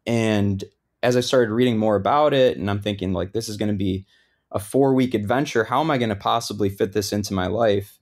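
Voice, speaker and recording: Man, lebanese roots, low voice, relaxed, loose storytelling, lots of filler words. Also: brisk. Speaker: guest, thru-hiker. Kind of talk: casual interview storytelling.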